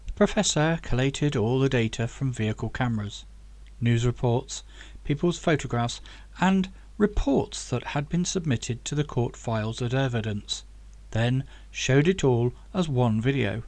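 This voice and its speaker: A man narrating a scripted story as an audiobook, reading in a measured, deliberate storytelling way. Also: mid-range voice, a little mellow. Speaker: author reading own book.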